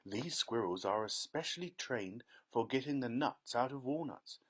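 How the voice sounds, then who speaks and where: A man voicing an excitable, eccentric male chocolatier who calls out loudly and triumphantly. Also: mid-range voice, normal speed, slightly rough. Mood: brisk excited. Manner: loud, bright, triumphant exclamations.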